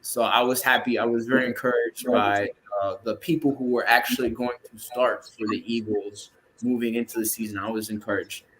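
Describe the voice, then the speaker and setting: A man's voice: medium pitch, steady tone, a little fast, hardly any pauses, a bit bright, slight background noise, low-quality recording. A man who is a podcast guest, talking casually about football with many hesitant restarts. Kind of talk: casual sports chat.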